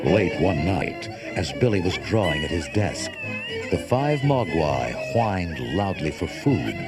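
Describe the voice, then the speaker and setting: A man narrates a children's story with dramatic flair and a hugely varied, fairly low voice. Loud music plays behind him on a cassette transfer. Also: wildly swooping pitch, measured pace.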